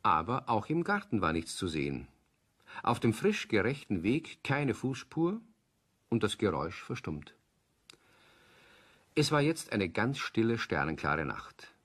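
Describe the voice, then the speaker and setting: A man with a husky voice narrating a children's audio play as a scripted storyteller. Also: on the low side, sing-song, unhurried, pauses often, a bit bright.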